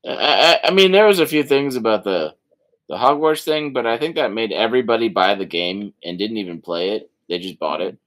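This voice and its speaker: A man's voice: lowish voice, animated, a little fast, fairly bright tone. A man talking casually and informally as a panelist in a group discussion. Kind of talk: casual chat.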